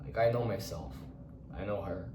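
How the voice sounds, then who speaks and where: A man's voice: deep voice, normal speed, far from the mic, background noise. A young man whose first language is Portuguese, thinking aloud in English in an unscripted personal monologue, rambling and restarting often, with some casual swearing. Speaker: vlogger. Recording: roomy echo, voice set back from the mic.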